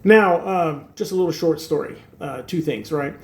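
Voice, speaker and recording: A man's voice: a little high, moderate pace, gravelly, a little mellow. A man in his sixties who grew up in Turkey and speaks Turkish natively, talking casually to camera as host of his own channel, rambling with lots of fillers and wry self-deprecating humor. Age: 60s. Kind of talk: casual talking-head monologue.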